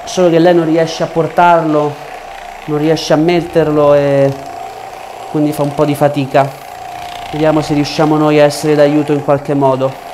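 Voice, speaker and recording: A man's voice: fairly high pitch, monotone, moderate pace, noisy background. A young man working as a chiropractor in a small treatment room, giving short reassuring directions and explaining the treatment. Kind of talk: hands-on treatment talk, explaining to patient and viewers. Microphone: lapel mic.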